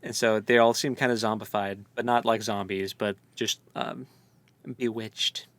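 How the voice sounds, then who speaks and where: A man's voice: lowish voice, flat delivery, measured pace, a bit bright, no room sound. A man co-hosting a casual book discussion, speculating in loose, colloquial language. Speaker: co-host of a book read-along podcast.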